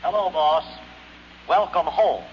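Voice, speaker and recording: A man's voice: fairly high pitch, slow pace. An American man playing a loyal, defiant robot servant in a 1950s radio drama. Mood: calm, dutiful. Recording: muffled vintage radio recording, faint noise.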